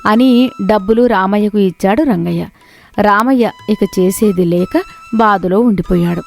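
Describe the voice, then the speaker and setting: A woman's voice: medium pitch, a little slow, gravelly, soft background music. A woman reading a scripted moral story as its narrator.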